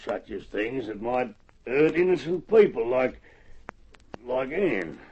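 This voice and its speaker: A man's voice: mid-range voice, sing-song, slow pace, breathy edge, full character voice. An actor playing a blunt, teasing bush horseman with an Australian accent and folksy country speech in an old radio drama. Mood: guarded, earnest. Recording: muffled old radio transcription recording.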